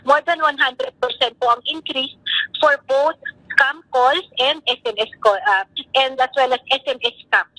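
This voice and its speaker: Female interviewee, Filipino accent, high-pitched, dry close sound, explains informally in Tagalog-flecked English. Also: sharp tone, dry recording, rough recording. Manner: mixes Tagalog words into English.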